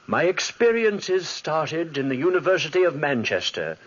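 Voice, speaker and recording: A muffled old radio recording of a driven young scientist haunted by his work. His husky voice swings expressively in earnest, formal 1940s drama style. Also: on the high side, very animated, normal speed. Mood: haunted, warning.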